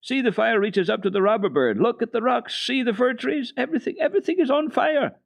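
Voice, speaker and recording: A man voicing an eager little girl in an old radio storybook reading, exclaiming in a high falsetto. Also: expressive, brisk, creaky, heavily acted. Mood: awed excitement.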